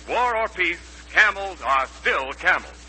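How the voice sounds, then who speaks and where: An American man reading an emphatic, measured advertising pitch on a hummy 1940s radio recording. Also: animated, heavy background noise. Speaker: radio commercial announcer.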